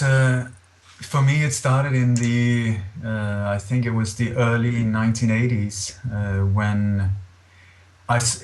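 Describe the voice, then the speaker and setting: A middle-aged man from Scandinavia with a Scandinavian accent, speaking earnestly and haltingly as an interview guest. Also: on the low side. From born in Denmark, grew up in Sweden.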